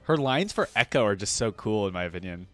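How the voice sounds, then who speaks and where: A man giving casual, informal and expressive reaction commentary on video game content. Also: fairly low pitch, quick pace, soft background music.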